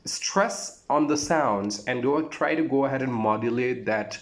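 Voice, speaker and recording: Male voice trainer, Indian accent, medium pitch, instructive and emphatic, informal lesson delivery with repeated phrases. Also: a little slow.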